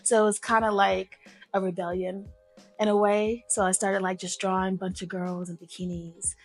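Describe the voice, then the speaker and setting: A woman's voice: mid-range voice, flat delivery, normal speed, faint music behind. A woman guest from Memphis whose first language is Arabic, speaking casually with fillers and restarts. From Memphis, Tennessee, US. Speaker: guest artist. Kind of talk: casual conversational interview.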